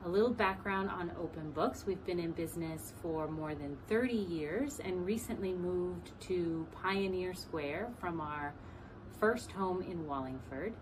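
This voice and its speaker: A woman with a slightly low voice gives a promotional welcome as a shop owner. Clear ambient noise sits behind her words. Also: unhurried. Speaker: bookstore owner giving a welcome. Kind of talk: promotional introduction.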